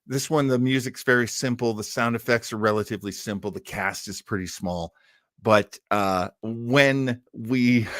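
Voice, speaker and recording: A man's voice: mid-range voice, fairly bright tone, no room sound. Older man, rambling host, dry close sound. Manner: rambling, enthusiastic. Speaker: host of an old time radio show. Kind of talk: casual live-stream chat with listeners.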